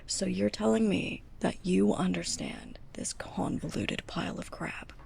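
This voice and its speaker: A young woman playing a sarcastic, scolding, snobbish librarian's assistant in a close-up ASMR roleplay, breathy and often near a whisper. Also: on the low side, unhurried, darkish tone, full character voice. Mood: annoyed, sarcastic. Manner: sarcastic, exasperated, pointed delivery.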